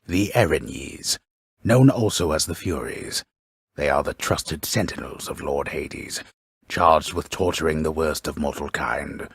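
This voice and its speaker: Game narrator: a grave mythic storyteller, extremely low and very husky, pompous, wry, ornate delivery. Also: male voice, no room sound, studio quality, heavily acted. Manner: solemn, deliberate, ornate, wryly deadpan. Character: grave, pompous old storyteller narrating Greek myth, wryly ominous. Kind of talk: scripted dramatic storybook narration.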